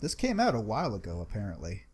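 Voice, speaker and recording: Man with lively intonation chatting. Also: on the low side, fast talker, husky. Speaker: podcast panelist. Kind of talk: casual book club discussion.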